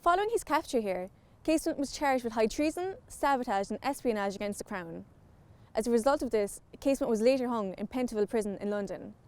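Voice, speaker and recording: A woman's voice: on the high side, lively intonation, fast talker, a little mellow. A woman reading scripted narration as the narrator of a documentary. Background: background music.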